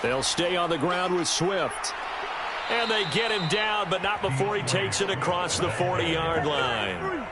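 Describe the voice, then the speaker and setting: An American football play-by-play announcer for a video game. His voice sits a little high for a man, with an American accent. Very loud noise runs behind his calls. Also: unhurried, a loud crowd. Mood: brisk, engaged. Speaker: play-by-play sports commentator.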